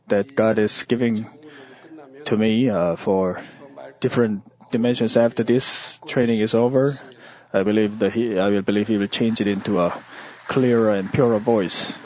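A man delivering a church sermon in short, measured phrases, as an interpreter would. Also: fairly low pitch, darkish tone. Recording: dull lo-fi audio.

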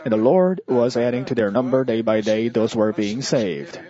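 A man delivering a church sermon in short, measured phrases, as an interpreter would. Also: mid-range voice, warm tone. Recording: dull lo-fi audio.

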